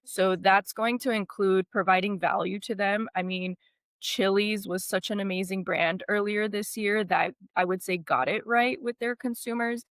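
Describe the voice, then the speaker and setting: A woman's voice: medium pitch, steady tone, moderate pace, fairly bright tone, no echo. A woman from Miami, a podcast guest talking in a friendly, enthusiastic, conversational way about retail. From Miami, USA. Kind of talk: casual interview.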